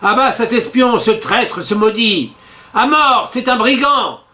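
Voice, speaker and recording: A man voicing a furious revolutionary mob, with shrill, bellowing cries for death. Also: animated, measured pace, pushed and bright, exaggerated voice. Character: furious shouting revolutionary mob, men and women.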